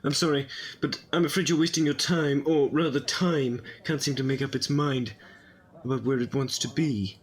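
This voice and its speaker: A man's voice: mid-range voice, fairly even intonation, moderate pace, lightly acted. A man playing a quirky, eccentric time-traveling adventurer in an audio drama, speaking in a whimsical, rambling way. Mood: urgent, wry. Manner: whimsical, rambling, self-interrupting.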